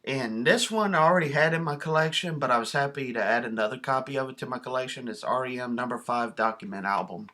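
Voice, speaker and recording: A man talks in a bright, forward voice at a middling pitch. He presents items in a casual show-and-tell vlog style. His delivery is folksy, plain-spoken and enthusiastic. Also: quick pace, hardly any pauses. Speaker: video host showing a cassette collection.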